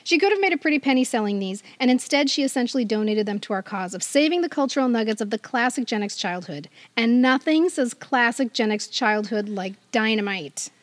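A woman's voice: on the high side, animated, fairly quick, few pauses, dry recording. An American woman in her fifties co-hosting a podcast, telling stories in a casual, chatty style. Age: 50s. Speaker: podcast co-host. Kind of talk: casual podcast chat and storytelling.

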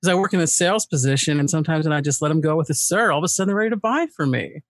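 A woman with a deep voice co-hosting a casual talk show, lively and playful. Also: rapid-fire, no room sound, clean studio sound. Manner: lively and playful, laughs easily. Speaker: co-host.

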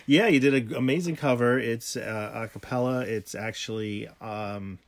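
A man's voice: mid-range voice, moderate pace. A middle-aged man co-hosting a casual home podcast, rambling with many ums and restarts. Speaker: podcast co-host.

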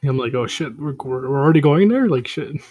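A man's voice: medium pitch, lively intonation, quick pace, slightly rough, no echo, highs cut off. A young man co-hosting a casual podcast, talking bluntly in slang and stumbling over restarts. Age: young adult. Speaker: podcast co-host. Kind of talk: casual chat among friends.

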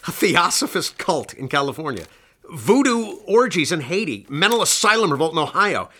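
A man's voice: fairly high pitch, very animated, moderate pace, bright, forceful tone, heavily acted. An actor playing a hesitant, earnest, intrigued man in a radio drama, stammering a little as he talks. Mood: intrigued, uneasy. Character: hesitant, intrigued man reading strange files aloud.

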